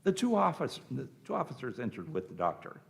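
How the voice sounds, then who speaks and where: A man narrates a radio play from a script. His voice is mid-pitched with a gravelly creak and lively intonation. He sets out the action scene by scene. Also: fairly quick.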